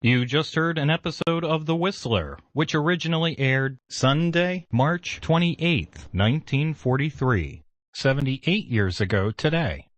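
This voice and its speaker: Dry, animated male radio presenter. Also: a little high, unhurried, no echo. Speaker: old-time radio station presenter.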